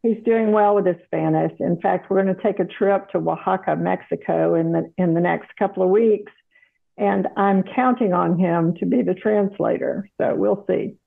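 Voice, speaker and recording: A woman, a retired doctor and interview guest who tells her story in a measured way with light laughs. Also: lowish voice, creaky. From South Carolina, USA. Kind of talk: casual interview chat. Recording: tinny phone-like line.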